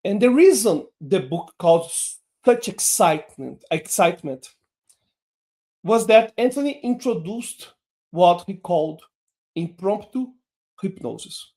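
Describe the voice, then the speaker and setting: Slow, deliberate male teacher. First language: Portuguese. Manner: slow, deliberate delivery with lively pitch swings. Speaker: hypnosis teacher. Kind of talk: online lesson.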